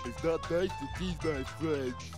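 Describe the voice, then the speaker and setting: A man voicing a big, gentle, simple-minded cat in a video game, talking in a slow, childlike way. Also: a little high, natural voice. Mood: simple, earnest. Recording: loud music behind the voice.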